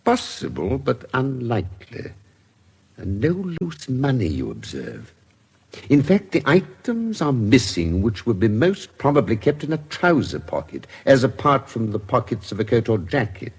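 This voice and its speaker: An actor playing a keen older British gentleman detective doctor in a radio drama, measured and precise as he deliberates aloud. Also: medium pitch, huge pitch swings, vocal fry, breathy edge, exaggerated voice. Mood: brisk, methodical. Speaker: forensic investigator.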